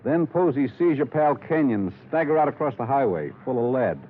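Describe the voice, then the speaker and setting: An actor playing a tough, weary middle-aged small-town police lieutenant in a 1950s radio detective drama, gruff and commanding with clipped questions. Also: male voice, mid-range voice, animated, a little fast, slight background noise, natural voice. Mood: grim. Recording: 1950s radio broadcast, thin phone-like band.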